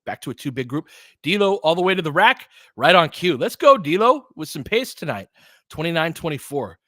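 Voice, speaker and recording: A man's voice: a little high, big pitch swings, moderate pace, a bit bright, no echo, clean studio sound. A man giving informal live basketball play-by-play as a partisan commentator who urges on the home team.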